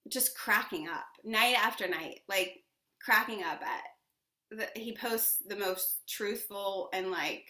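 A woman's voice: medium pitch, takes it slowly, bright, forceful tone. A woman, a yoga teacher, chatting as an interview guest, effusive and laughing often as she talks about spiritual ideas. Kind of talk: casual interview chat. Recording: roomy echo.

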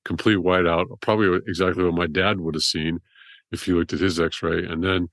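Deep-voiced man telling his story. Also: level tone, moderate pace, fairly bright tone, no echo. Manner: rambling, restarts often. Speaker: trauma surgeon guest. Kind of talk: casual interview storytelling.

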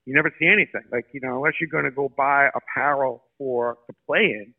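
Guest: brisk New man, quick talker, tinny phone-line sound. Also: mid-range voice. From Brooklyn, New York. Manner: brisk, animated storyteller. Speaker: sports marketing guest. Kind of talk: podcast interview.

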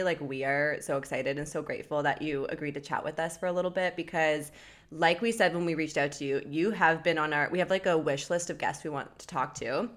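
Effusive woman co-host, slightly low voice. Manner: effusive, informal. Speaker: podcast co-host, interviewer. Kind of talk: casual chat.

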